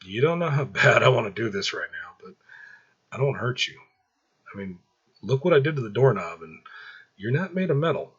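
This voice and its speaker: Man playing a husky, sheepish young hero. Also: medium pitch, sing-song, moderate pace, long pauses, a bit bright, dull audio. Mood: worried. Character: earnest young man, clumsy new superpowered wolf hero.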